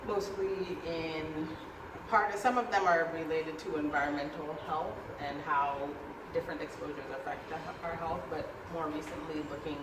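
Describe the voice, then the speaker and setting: A woman panelist introducing her work, relaxed and full of fillers. Her voice comes from a distance in an echoey room, with loud noise around her. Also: low-pitched. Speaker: panel speaker, statistician. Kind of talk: self-introduction at an event.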